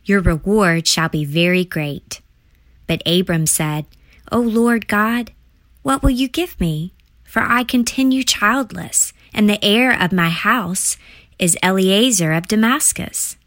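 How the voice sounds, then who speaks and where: A woman reading scripture aloud in a measured, reverent manner. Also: medium pitch, a bit bright, no echo. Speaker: scripture reader.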